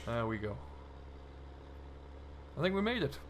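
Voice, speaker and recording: A man's voice: mid-range voice, sing-song, measured pace, a little hiss or hum. A man giving casual, chatty commentary as host of a role-play gaming video, thinking aloud in an easygoing way.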